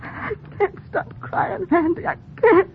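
A woman's voice: extremely high pitch, slow pace, full character voice. An American actress playing an anguished, bitter, tough-talking woman in old radio melodrama, emotional and slangy. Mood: tearful. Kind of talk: 1940s-50s radio melodrama acting. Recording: muffled, slightly noisy old radio recording.

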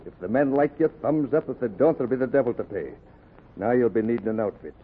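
A man playing a brisk, fair-minded whaling skipper loyal to his crew, in commanding old-fashioned sailor speech for a historical radio drama. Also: mid-range voice, lively intonation, breathy edge. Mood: brisk, challenging. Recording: narrow phone-like old recording, light noise.

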